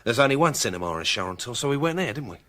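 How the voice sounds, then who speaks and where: A British actor playing a wary, loyal small-time crook in a radio drama, plain-spoken, defensive and uneasy. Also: male voice, medium pitch, sing-song, very fast, sharp tone. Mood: shaken.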